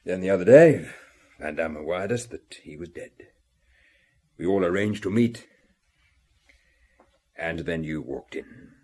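An actor in a radio drama playing a guilt-ridden middle-aged family man, husky and halting as he confesses gravely. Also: deep voice, animated, mellow. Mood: desperate, strained.

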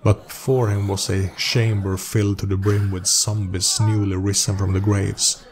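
A man's voice: on the low side, level tone, normal speed, crowd noise. A man narrating a fantasy story in a scripted audiobook style, as its host. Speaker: narrator and host of a role-playing story series.

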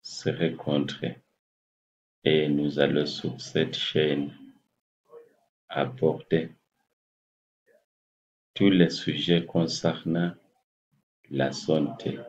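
A man in his forties with a Kenyan English accent, a native English speaker, speaking slowly and haltingly to a webcam in a small room as he searches for words. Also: rumbling low, flat delivery. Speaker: health channel host. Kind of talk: casual address to camera. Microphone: laptop webcam at desk distance. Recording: muffled lo-fi webcam audio.

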